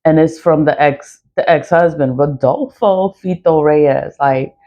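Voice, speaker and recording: A woman with a raspy voice, venting bluntly and profanely in an early-morning vlog, repeating herself as she goes. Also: low voice, muffled recording. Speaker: vlogger. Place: dark room before dawn.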